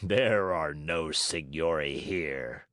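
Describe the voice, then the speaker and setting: A man voicing hoarse, booming puppet-master Fire Eater. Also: slow, fairly bright tone, no room sound, clean studio sound, full character voice. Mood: gruff. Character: gruff bearded male puppet-show director, hungry, bad-tempered yet softhearted.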